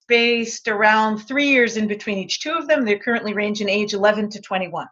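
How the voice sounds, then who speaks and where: A middle-aged woman from Israel, a guest on an interview, telling her story in a chatty, animated way. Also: mid-range voice, brisk, lo-fi sound, slight background noise. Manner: chatty, animated, acts out quotes.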